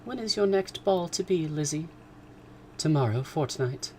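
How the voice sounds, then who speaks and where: A sensible young woman speaking with calm reason, played by a male narrator. He lifts his voice moderately higher for her and keeps it gentle. It is a storybook reading. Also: unhurried, a little hiss or hum.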